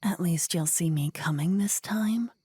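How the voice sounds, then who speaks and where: Lady playing a nasty rival necromancer girl, mocking and threatening, low husky whispery voice, asmr fantasy rp. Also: unhurried, warm tone, no echo. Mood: menacing. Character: spiteful, taunting rival necromancer woman, former best friend. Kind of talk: fantasy ASMR roleplay acting.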